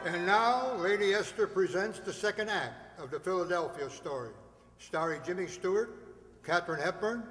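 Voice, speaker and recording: Male radio drama announcer, high-pitched scripted narration, heavy hall reverb. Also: animated, normal speed, music in the background. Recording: echoey hall, long reverb.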